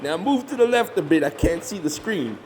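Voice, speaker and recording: A shameless Southern hillbilly monster hunter acted by a man, pitched high and swinging all over in pitch. He is glib, folksy and self-righteous, bossing his kin around in a comedy horror audio play, with faint noise behind him. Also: animated, fairly quick, lightly acted. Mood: mock indignant. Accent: rural Southern US, hillbilly dialect. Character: bossy, shameless hillbilly monster hunter, scheming older brother.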